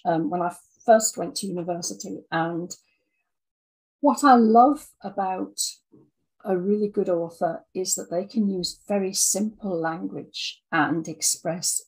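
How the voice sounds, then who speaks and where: An older woman, over sixty, talking reflectively in an interview, thoughtful and halting as she repeats words. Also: on the low side, a little mellow, breathy edge, poor audio. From Scotland. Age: 60s or older. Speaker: interview guest, audio dramatist.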